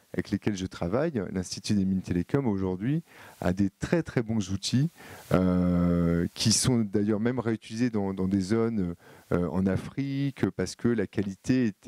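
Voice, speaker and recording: A man's voice: lowish voice, mellow, no echo. A man with a French accent giving a live talk to an audience, hesitant and full of fillers and repeated words. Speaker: conference speaker.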